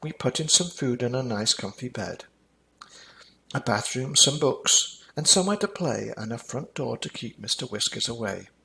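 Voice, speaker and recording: An older man reads a children's story in rhyme. His voice is creaky with a bright edge, and he tells it expressively, with a dramatic touch. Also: mid-range voice, lively intonation, normal speed, a little hiss or hum, poor audio. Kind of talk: scripted rhyming children's story narration.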